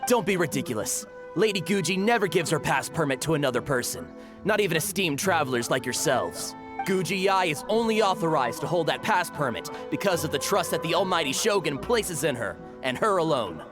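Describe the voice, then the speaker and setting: Formal, animated palace guard. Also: male voice, a little high, a little fast. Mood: stern, dismissive. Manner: formal, officious. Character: stern, dutiful, formal male palace guard. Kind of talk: video game voice acting. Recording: music playing behind.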